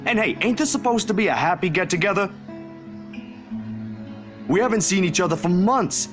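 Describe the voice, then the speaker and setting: A man voicing an easygoing young man who calms his friends, talking in a folksy, laid-back way. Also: sits high, moderate pace, music in the background. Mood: soothing.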